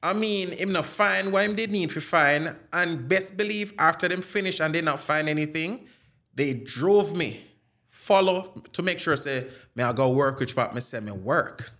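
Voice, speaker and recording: Jamaican man, higher-than-average pitch, casual animated storyteller who slips into patois. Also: normal speed. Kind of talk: casual chat with anecdotes.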